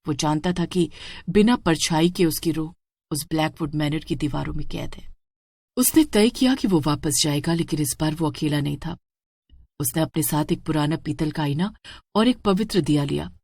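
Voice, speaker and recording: A woman's voice: deep voice, moderate pace, a little mellow, dry recording, studio quality. A woman giving a dramatic scripted narration of a horror story.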